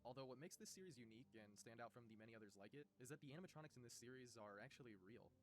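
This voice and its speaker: A man reading a scripted video-essay narration in a rapid, informative and lightly wry way. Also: mid-range voice, animated, slight background noise.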